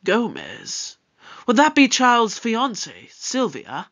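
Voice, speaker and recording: Brisk, authoritative space detective in an audio drama. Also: medium pitch, huge pitch swings, creaky, a bit bright, full character voice. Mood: brisk, probing.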